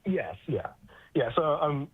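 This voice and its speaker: A man's voice: fairly high pitch, very animated, slow pace, husky, dry recording. An American man from Connecticut calling in with a question, hesitant with many fillers but scholarly. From Connecticut, USA. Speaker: caller. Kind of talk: phone-in question. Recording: tinny phone line.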